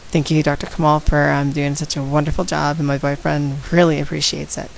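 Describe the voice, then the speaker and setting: A woman with a slight head cold and a raspy voice, talking casually and outspokenly straight to her viewers in a vlog. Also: low voice, level tone, a little fast, a little hiss or hum, poor audio. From San Antonio, Texas. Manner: outspoken, direct, addresses viewers. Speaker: vlogger. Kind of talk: casual talk to camera.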